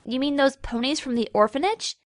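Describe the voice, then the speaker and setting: A woman's voice: high voice, a little fast, no room sound, studio quality, lightly acted. A woman voicing a sensible young female pony who reasons wearily and corrects others in a dramatic story reading. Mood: dry, corrective. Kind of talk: dramatic fan fiction reading.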